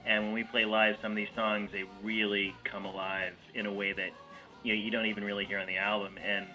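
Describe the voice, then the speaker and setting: Easygoing male guitarist, low voice, sharp bright edge. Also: flat delivery, fairly quick, soft background music, rough recording. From New York. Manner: easygoing, agreeable, says yeah often. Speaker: musician in a duo, guitar. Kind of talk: casual interview chat.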